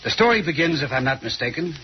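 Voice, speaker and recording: An actor playing a self-assured, courteous gentleman detective in an old radio mystery, with pompous, precise formality. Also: a little high, lively intonation, fast, lightly acted. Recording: muffled, hissy old radio recording.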